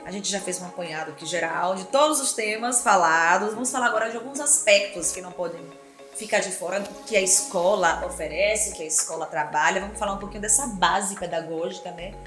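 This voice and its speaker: A woman hosting a podcast in a casual, enthusiastic way, often turning to her listeners. Her voice sits in a middle range and bounces around a reverberant room. Music plays clearly behind her. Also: medium pitch, brisk. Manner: enthusiastic, affirming, addresses listeners as "minha gente". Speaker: podcast host. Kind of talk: casual interview chat. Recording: echoey room with background music.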